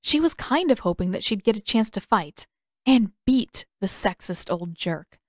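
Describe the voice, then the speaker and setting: A woman's voice: medium pitch, dry recording, studio quality. A woman reading fan fiction aloud as scripted audiobook narration. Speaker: podfic narrator.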